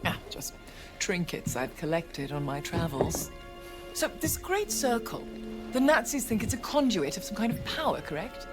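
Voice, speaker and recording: An actress playing a grand, worldly dame who collects antiquities and dabbles in spying in a video game, speaking in an arch, genteel, droll way. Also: female voice, fairly low pitch, sing-song, normal speed, music in the background. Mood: droll, gracious. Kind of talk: video game cutscene acting.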